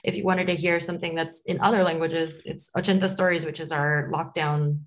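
A woman's voice: low-pitched, little pitch movement, a little fast. A woman with a light Latin accent, a podcast studio CEO answering interview questions in a chatty way, laughing often and repeating words as she goes. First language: other than English.

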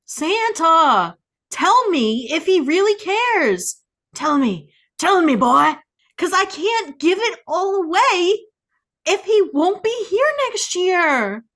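A woman's voice: very high-pitched, very animated, takes it slowly, a bit bright. A woman co-hosting a podcast, giving playful, theatrical readings in an exaggerated, melodramatic way. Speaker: podcast co-host. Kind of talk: playful, theatrical dramatic reading of song lyrics.

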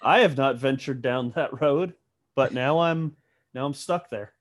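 A man's voice: medium pitch, a little slow. A man with a light Southern American accent, chatting casually as a podcast guest with playful, dry humour. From Texas, US. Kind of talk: casual chat.

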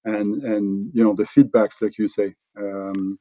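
Middle-aged man, French accent, fairly low voice, halting with restarts, dry dull lo-fi sound. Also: steady tone, warm tone, dry recording. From France. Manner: halting, many restarts and fillers. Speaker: company executive guest. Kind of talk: relaxed conversational interview.

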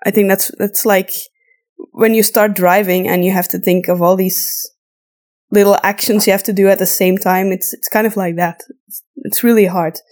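A woman's voice: medium pitch, steady tone, moderate pace, a little mellow. A woman podcast co-host, a native Flemish Dutch speaker with an accent between British and American, chatting casually and thinking aloud as she repeats words. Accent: mixed European, between British and American. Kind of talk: casual conversational podcast talk.

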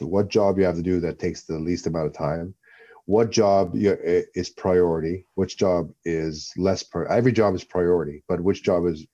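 Brief: low, mellow-toned man, interview guest, loose and rambling, slightly dull audio. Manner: rambling, repeats himself while thinking. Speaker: guest, actor and former fighter. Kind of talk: relaxed interview talk.

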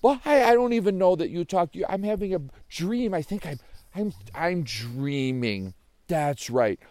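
A man voicing an excitable, disbelieving young boy in a children's story, stammering and exclaiming. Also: mid-range voice, slow pace, no echo. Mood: bewildered. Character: astonished, excitable young boy who discovers a talking bird.

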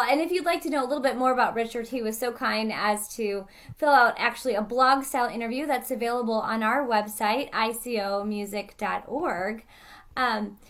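A woman hosting an interview with a guest soloist, fluent with occasional fillers. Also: fairly high pitch. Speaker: interview host.

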